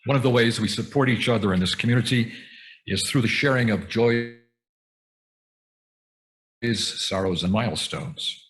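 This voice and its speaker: A man leading the sharing of joys and sorrows in a church service, talking gently and chuckling now and then. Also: mid-range voice, moderate pace, pauses often, poor audio. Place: church sanctuary.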